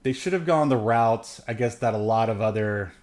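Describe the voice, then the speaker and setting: A man's voice: lowish voice, moderate pace, darkish tone. A man co-hosting a podcast, chatting casually about films in a rambling, joking way. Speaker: podcast co-host. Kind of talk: casual movie review chat.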